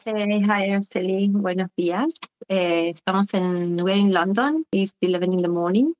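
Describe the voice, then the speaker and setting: A woman's voice: mid-range voice, normal speed, darkish tone. A woman, speaking reflectively as an interview guest with many "eh" fillers. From Argentina. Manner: searching and reflective, with frequent "eh" fillers. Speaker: guest, community organizer. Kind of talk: conversational interview. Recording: dull lo-fi sound.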